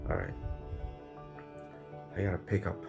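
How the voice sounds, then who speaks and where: A man's voice: unhurried, dull audio, over music. A man driving for a rideshare service, talking casually to the camera in his car, tired and losing his voice, repeating his catchphrases. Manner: upbeat, repeats catchphrases, loose rambling talk. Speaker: rideshare driver. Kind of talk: casual vlog narration while driving.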